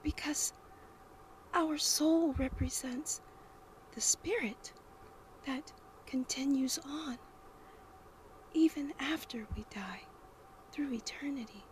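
A woman playing a naive, smitten young lady, flustered, breathy and girlish, in a horror radio drama. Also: sits high, slow pace, pauses often, slight background noise, rough recording. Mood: earnest, hushed.

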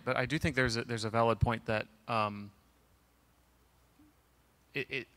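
A man's voice: lowish voice, bright, forceful tone, clear, resonant tone. A man speaking hesitantly as a panelist in a large lecture hall, on a handheld mic, with many restarts. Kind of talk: panel discussion.

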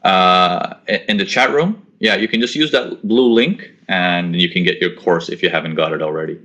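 Young man, lowish voice, casual coaching, repeats words, laptop webcam mic at home. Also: moderate pace, pushed and bright. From grew up in Korea and the US. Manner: casual, repeats himself, coaching tone with rhetorical questions. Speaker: forex trading webinar host. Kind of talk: live webinar Q&A, reading chat questions. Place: small indoor room at home. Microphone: laptop webcam mic on a video call.

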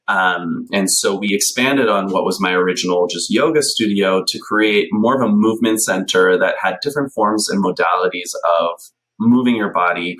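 Man, thirties, low pitch, casual storytelling, lively gestures, video call from a small bright room. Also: poor audio. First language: English. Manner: animated, gesturing a lot, many ums. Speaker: podcast guest. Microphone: headphones on a video call.

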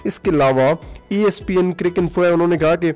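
A man's voice: high-pitched, brisk, darkish tone. Man, faint music behind. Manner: casual, many "aa" fillers, mixes in English phrases. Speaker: cricket commentary vlogger. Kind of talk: solo cricket commentary over music. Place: voice-over, not recorded at the ground.

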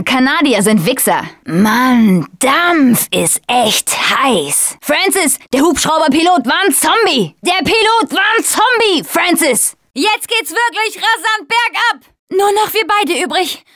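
A high, dry-recorded young female voice for a zombie video game. She is a tough survivor with a blunt, sarcastic edge. Her delivery is urgent, made for short combat barks and quips. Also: expressive, measured pace, a bit bright, no echo. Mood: panicked, desperate. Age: young adult. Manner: blunt, foul-mouthed, urgent.

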